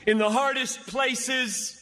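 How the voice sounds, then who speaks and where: A man's voice: very high-pitched, fairly even intonation, slow pace, pushed and bright. A man preaching an impassioned sermon, pleading and emphatic, hammering phrases through dramatic repetition. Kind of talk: impassioned sermon to a large gathering. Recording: echoey large hall with long reverb.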